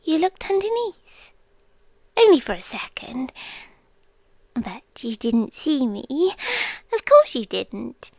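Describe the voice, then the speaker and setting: A British woman playing an obsessive, creepily devoted yandere girlfriend in an intimate ASMR roleplay, breathy and often whispering, lingering on short phrases. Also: sits high, sing-song, slow pace, pauses often, a little mellow, no echo, heavily acted. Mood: teasing menace. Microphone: close microphone, dry intimate recording.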